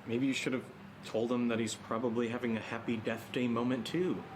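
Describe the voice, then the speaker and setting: An actor playing a sarcastic, wisecracking sidekick in a naturalistic horror audio drama, with a teasing, joking manner. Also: male voice, medium pitch, flat delivery, a little fast, background noise, lightly acted. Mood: wry, teasing. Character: sarcastic, wisecracking buddy of the hero.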